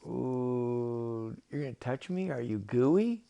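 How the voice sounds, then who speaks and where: A man's voice: medium pitch, very animated, measured pace, creaky, a little mellow, full character voice. A man voicing a timid, baffled five-year-old boy in a storybook tale, asking hesitant, incredulous questions. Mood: nervous.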